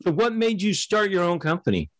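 A man's voice: sits high, sing-song, a little fast, no room sound. A man hosting a podcast, upbeat and encouraging as he asks his guest questions. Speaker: podcast host. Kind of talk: casual interview conversation.

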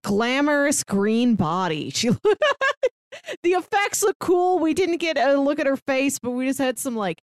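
A woman's voice: high-pitched, sing-song, a little slow, no echo, clean studio sound. A woman co-hosting a podcast, chatting casually about pop culture. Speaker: podcast co-host.

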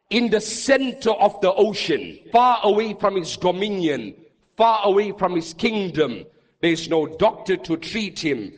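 High-pitched male preacher in a reverberant hall. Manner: dramatic, rhetorical questions and emphatic repetition. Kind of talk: impassioned Islamic sermon with Arabic quotations. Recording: long reverberant hall echo.

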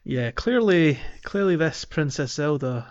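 A man's voice: a little high, lively intonation, measured pace. A man hosting a podcast, explaining a video game playthrough casually and a bit hesitantly. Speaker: podcast host. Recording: slightly dull lo-fi audio.

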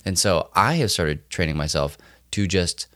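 Deep-voiced man, teasing and lively. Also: normal speed, no room sound. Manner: teasing, dry. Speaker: podcast co-host. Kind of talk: casual podcast banter.

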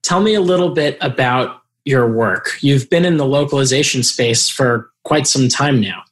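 A man's voice: mid-range voice, moderate pace. Conversational man hosting an interview. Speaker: podcast host. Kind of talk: casual interview.

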